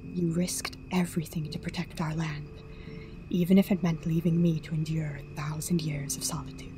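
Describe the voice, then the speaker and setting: Woman as earnest pony princess, lowish voice, formal measured delivery, solemn, radio drama, music behind. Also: flat delivery, lightly acted. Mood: earnest, consoling. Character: earnest, devoted pony princess, solemn younger royal sister. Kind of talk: dramatic radio play acting.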